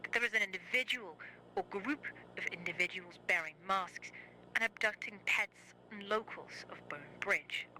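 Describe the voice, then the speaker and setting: A performer playing a formal, measured news reporter reading a scripted bulletin in a horror series. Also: mid-range voice, bright, forceful tone, rough recording. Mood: grave, formal.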